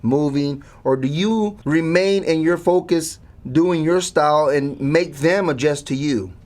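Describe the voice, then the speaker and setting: A man's voice: a little high, unhurried, darkish tone. A man interviewing in a casual sports style, asking direct questions conversationally.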